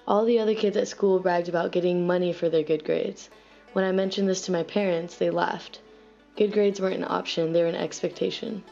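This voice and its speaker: A young American woman narrates a personal essay in a reflective, earnest way. Her voice sits a little low with a gravelly fry at the edges. Music plays softly behind her. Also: fairly even intonation, brisk. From United States. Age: young adult. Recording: dull lo-fi voice-over recording. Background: music playing faintly behind the voice.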